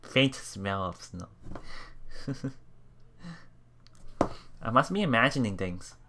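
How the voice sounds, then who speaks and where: An excitable game streamer who reads story text aloud and reacts casually, often repeating words and phrases. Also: medium pitch, slow pace, noisy background. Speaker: let's play streamer.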